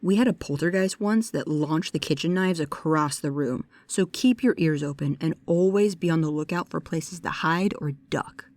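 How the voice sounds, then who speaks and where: An actress plays a bookish young female paranormal investigator in an immersive audio drama. Her voice sits a little low for a woman. She is precise and pedantic, with a nervous, hesitant earnestness. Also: moderate pace, few pauses, a little mellow, no echo, lightly acted. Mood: pedantic, curious. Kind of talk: immersive audio roleplay addressed to the listener.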